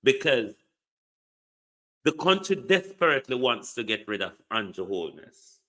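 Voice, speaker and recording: A man's voice: mid-range voice, big pitch swings, a little slow, sharp tone. A man giving an emphatic political commentary monologue, full of repeated rhetorical questions. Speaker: online commentator.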